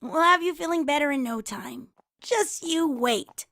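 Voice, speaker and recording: A woman's voice: sits high, measured pace, creaky, pushed and bright, no echo, clean studio sound, pitched above the performer's own voice, full character voice. A woman voicing a brash, confident young female cartoon pony with a scratchy voice and a blunt, cocky manner.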